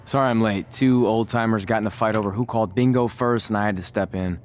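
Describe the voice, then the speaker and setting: An actor playing a wry, stubborn young wrestler in a video game, talking conversationally with dry sarcasm. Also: male voice, fairly low pitch, steady tone, fast talker, a little hiss or hum, close to an everyday voice. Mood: wry, casual. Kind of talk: video game cutscene acting.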